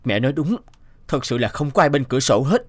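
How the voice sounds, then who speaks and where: A man's voice: mid-range voice, very animated, fairly quick. A man voicing an earnest, easily swayed young father in a cartoon. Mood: concerned. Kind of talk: cartoon voice acting.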